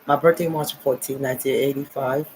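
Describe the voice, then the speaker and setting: A man's voice: mid-range voice, steady tone, a little fast, darkish tone, a little hiss or hum, rough recording. A man in his forties from New Jersey, talking to his viewers in a rambling, repetitive monologue full of "okay" and "nice". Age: 40s. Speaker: vlogger.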